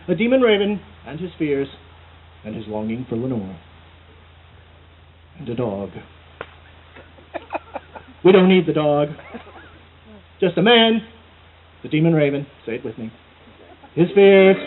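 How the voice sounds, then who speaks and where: A man giving a theatrical, melodramatic dramatic reading of a spooky tale, deliberate and full of drawn-out pauses, recorded outdoors. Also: a little high, big pitch swings. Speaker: amateur performer reading poetry aloud. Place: outdoors at an evening party. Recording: muffled phone-like outdoor recording, loud background noise.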